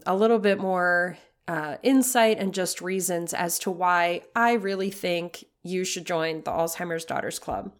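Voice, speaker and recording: A woman hosting her own podcast, a little raspy from a cold, talking earnestly and encouragingly with frequent ums. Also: on the low side. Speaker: podcast host. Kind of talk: casual solo podcast talk.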